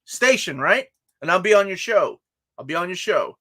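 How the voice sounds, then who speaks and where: A man's voice: sits high, lively intonation, moderate pace, pushed and bright. A young man co-hosting a casual comics livestream, friendly and full of fillers and restarts. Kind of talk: casual chat.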